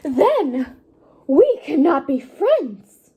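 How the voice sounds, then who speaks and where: Woman voicing Gerald, a loud anxious elephant. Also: high voice, very animated, extremely slow, highs cut off, heavily acted. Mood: worried, dramatic.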